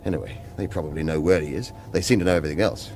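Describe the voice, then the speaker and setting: An actor playing a sardonic, defiant ex-intelligence operative with a British accent and a wry, protesting manner, in a radio drama. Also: male voice, a bass voice, rapid-fire, a little mellow, slight background noise. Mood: sardonic weariness.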